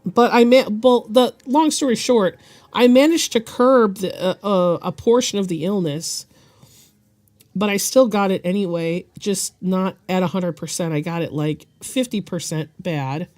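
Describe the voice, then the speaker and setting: Hoarse woman rambling on a stream. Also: mid-range voice, darkish tone. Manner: rambling, halting, with frequent restarts and throat clearing. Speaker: streaming artist. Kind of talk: casual chat while drawing on stream.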